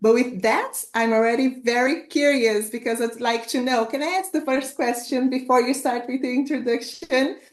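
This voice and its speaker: A woman's voice: a little high, steady tone, normal speed, hardly any pauses, reverberant. A woman hosting an online interview, chatty and eager, often laughing between questions. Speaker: host.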